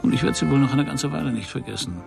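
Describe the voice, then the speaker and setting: An actor playing a wry, self-assured Parisian private detective in a radio crime drama, speaking dryly in terse, laconic sentences. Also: male voice, medium pitch, animated, quick pace, gravelly, over loud music. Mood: dry, brooding. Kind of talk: first-person radio crime drama narration and dialogue.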